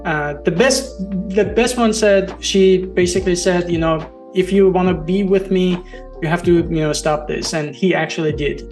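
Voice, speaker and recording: A man whose first language is Farsi, hosting an interview and speaking in an earnest, measured way. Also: sits high, darkish tone, faint music behind. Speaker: host. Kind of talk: interview conversation.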